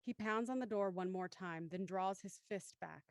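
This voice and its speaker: A woman's voice: medium pitch, normal speed, no echo. Dry-recorded woman narrating an audio thriller. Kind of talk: scripted audio drama narration, reading stage directions.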